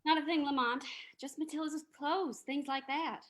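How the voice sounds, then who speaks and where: A detective's female companion in a radio mystery, played by a woman. She has a slightly high voice and an inquisitive, confiding way of talking. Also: steady tone, moderate pace, fairly bright tone. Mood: thoughtful. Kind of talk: old-time radio drama reading.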